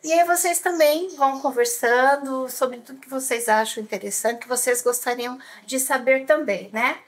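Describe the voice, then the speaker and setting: A woman's voice: high-pitched, normal speed. A woman with a Brazilian accent hosting a library talk, casually introducing the event and putting questions to a guest. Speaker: library host, interviewer.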